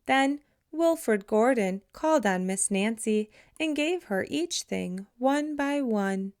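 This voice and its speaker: A woman with a fairly high voice reads a children's storybook aloud. She goes very slowly and deliberately, giving the story expressive shape for young listeners. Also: smooth. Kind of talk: children's picture book read-aloud.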